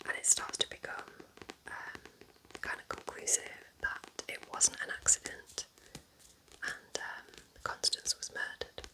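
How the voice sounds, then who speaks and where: A woman speaking in a soft, chatty, informal ASMR whisper, gently recommending things as a host in an unhurried way. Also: rough recording. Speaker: ASMR host reviewing books.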